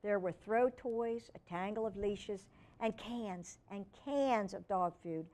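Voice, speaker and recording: A woman reads a storybook aloud for children at a mid-range pitch. She narrates as a storytime reader and drops in informal asides. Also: slow pace, mellow.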